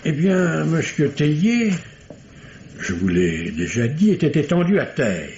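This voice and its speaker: An actor playing a solemn, wordy male doctor in a vintage radio mystery, with pompous, deliberate, literary delivery. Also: a little high, wildly swooping pitch, darkish tone, heavily acted. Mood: grave, precise. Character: formal, verbose, solemn male country doctor. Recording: dull, noisy old radio recording.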